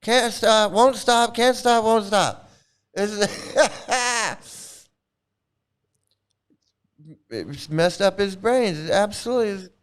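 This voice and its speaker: A man's voice: sits high, extremely slow, long pauses, a bit bright, clear, resonant tone. Profane, scornful middle-aged man ranting. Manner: profane, scornful, repeats words. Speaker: commentary channel host. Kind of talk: casual ranting commentary.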